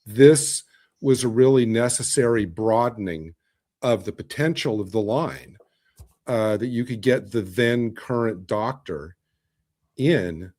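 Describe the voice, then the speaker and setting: Male podcast panellist, average pitch, informal conversational delivery. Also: takes it slowly, a little mellow. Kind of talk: casual panel discussion.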